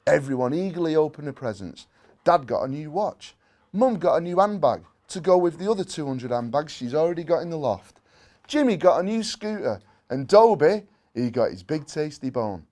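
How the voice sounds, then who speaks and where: A man reading a children's story aloud in an expressive way, with playful asides. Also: fairly high pitch, moderate pace. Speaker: story reader.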